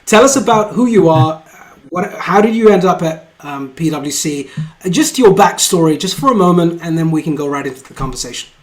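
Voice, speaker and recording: A man's voice: on the high side, lively intonation, a little fast. A man hosting an interview show, introducing his guest with effusive praise and many fillers. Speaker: podcast host.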